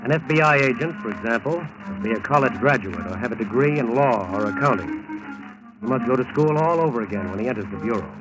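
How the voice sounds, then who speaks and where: Authoritative American radio narrator, music behind. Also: male voice, mid-range voice, expressive, fast, poor audio. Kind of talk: 1940s radio scripted narration.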